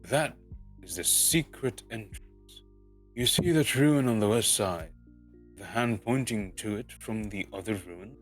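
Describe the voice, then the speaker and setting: A man's voice: fairly low pitch, a little slow. A man voicing a wise, commanding old wizard in an amateur storybook reading, speaking emphatically. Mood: knowing. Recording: music under the voice.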